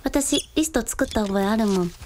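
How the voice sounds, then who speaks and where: A woman playing a considerate, composed younger sister with a gently wry streak, in a radio drama. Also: on the high side, moderate pace, a little hiss or hum, natural voice. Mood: practical.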